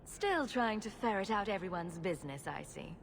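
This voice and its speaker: A woman's voice: medium pitch, animated, normal speed, in a large hall, a little hiss or hum, lightly acted. An actress voicing a wry, self-assured young woman adventurer in a video game, with a teasing manner. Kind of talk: video game character dialogue.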